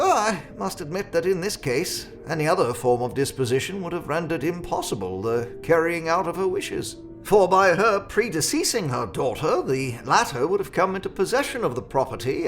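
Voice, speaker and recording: Swooping, fussy Victorian solicitor. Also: male voice, a little high, a bit bright. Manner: effusive, formal, long-winded. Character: genial, self-satisfied, pedantic male Victorian family solicitor. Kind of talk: audio drama acting.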